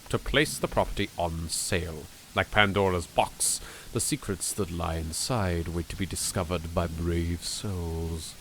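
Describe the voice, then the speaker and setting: Man putting on a grave, low British horror narrator. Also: bright, forceful tone, a little hiss or hum, exaggerated voice, low-quality recording. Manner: measured, grave, lingering on phrases. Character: solemn, ominous storyteller of a haunted mansion legend. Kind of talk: dramatic horror game narration.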